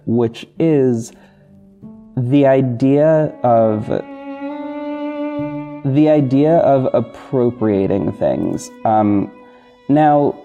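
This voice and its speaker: Hesitant man with a very mellow, dark voice. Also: lowish voice, dull audio. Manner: hesitant, halting, self-interrupting. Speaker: podcast host, audio drama narrator and producer. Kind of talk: informal behind-the-scenes solo talk to listeners. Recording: soft guitar music bed under voice. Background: plucked guitar music.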